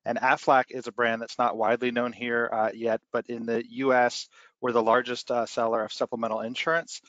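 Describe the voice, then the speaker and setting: A man with an American accent introducing himself as a guest on a business webinar, plain and steady. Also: mid-range voice, brisk, no room sound, dull audio. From United States. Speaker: guest speaker, insurance company director.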